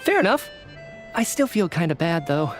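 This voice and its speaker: A man's voice: on the high side, sing-song, normal speed. A man voicing an earnest, flustered researcher in a video game, hesitant and prone to stammering. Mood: earnest, sheepish. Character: flustered, earnest male researcher, an apologetic theater fan. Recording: music under the voice.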